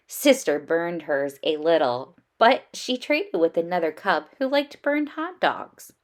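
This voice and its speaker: A woman narrates a children's storybook at a slow, unhurried pace. Her pitch moves up and down in a lively way, and she reads expressively, leaning on key words. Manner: unhurried, animated reading with emphasis. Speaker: children's read-aloud storyteller.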